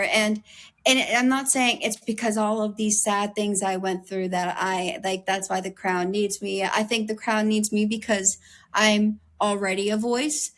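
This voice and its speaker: A young woman from the United States talks with an interviewer in an earnest, open way. Her voice sits at an ordinary middle pitch with a slight raspy edge. She leans on "like" and "um" as she works through her thoughts. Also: a bit bright. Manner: earnest, heavy use of "like" and "um". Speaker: interview guest. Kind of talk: candid conversational interview.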